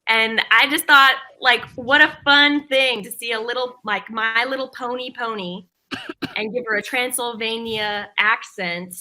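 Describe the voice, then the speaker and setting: A woman's voice: fairly high pitch, fairly even intonation, a little slow, pushed and bright, poor audio. A woman performer casually introducing herself as a guest on a panel, talking with enthusiasm. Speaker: voice actor and songwriter, panel guest.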